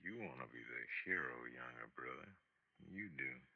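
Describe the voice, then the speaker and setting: Sly older outlaw brother, American drawl, very low voice, dry close sound, vintage radio western. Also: male voice, expressive, normal speed, breathy edge, dry recording, lo-fi sound, full character voice. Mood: hushed, menacing. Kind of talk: 1950s radio western acting. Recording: vintage 1950s radio broadcast.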